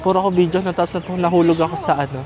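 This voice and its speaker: A man's voice: high voice, steady tone, very fast. A Filipino man chatting casually and ramblingly as a travel vlogger, mixing in English, with a Filipino accent. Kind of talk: casual vlog chat. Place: outdoors on busy city streets. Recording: noisy outdoor street, traffic and crowds. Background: street crowd, vehicles.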